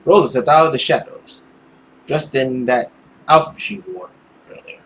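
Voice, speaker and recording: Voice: mid-range voice, normal speed, slight background noise. A video host reading a visual novel aloud as a theatrical narrator, with casual asides.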